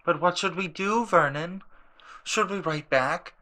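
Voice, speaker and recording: A man's voice: high-pitched, a little slow, pushed and bright, pitched above the performer's own voice. A man voicing a fretful, quivering middle-aged aunt, full of fear, in an audiobook drama. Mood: fearful, pleading. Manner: quivering, fearful. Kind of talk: audiobook drama character acting.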